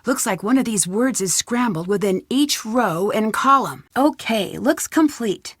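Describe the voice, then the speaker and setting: A curious, plucky young woman detective from a video game, muses to herself as she works. Her voice sits fairly high and every word is clearly enunciated. The recording is close and dry, with no room sound. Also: expressive, unhurried, fairly bright tone, dry recording. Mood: curious, focused. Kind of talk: video game voice acting, thinking aloud.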